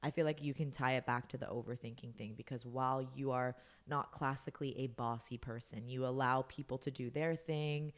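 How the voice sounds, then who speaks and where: A woman co-host with a strikingly deep, low voice and a loud delivery. She talks things through in a supportive, casual way. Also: steady tone, fairly quick, few pauses. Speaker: podcast co-host. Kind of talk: casual chat.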